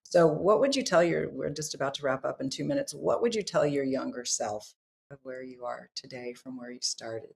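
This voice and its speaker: A woman hosting a podcast interview, effusive and quick to react. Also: very deep voice, creaky, darkish tone. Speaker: podcast host. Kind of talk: casual interview talk.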